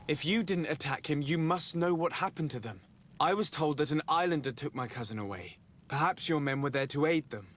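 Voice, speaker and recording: An actor playing a composed, diplomatic envoy in a video game, formal, measured and earnest. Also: male voice, on the high side, expressive, fairly bright tone. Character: composed, diplomatic young male envoy seeking his lost cousin. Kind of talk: video game cutscene acting.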